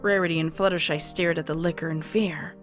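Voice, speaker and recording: A woman's voice: lowish voice, steady tone, fairly quick, soft background music. A woman reading scripted narration for a dramatised story, as its narrator.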